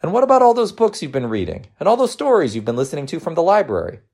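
A man voicing a gentle, teasing working mother in a storybook reading, coaxing and reassuring. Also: on the high side, wildly swooping pitch, quick pace, voice pushed up, heavily acted. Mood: encouraging, playful.

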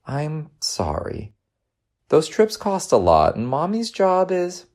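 Storybook mom acted by a male narrator: affectionate, teasing, encouraging, pitched up with very animated swings and some gravel. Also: measured pace, full character voice. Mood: soft, apologetic. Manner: coaxing, affectionate, reassuring. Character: gentle, teasing, encouraging working mother.

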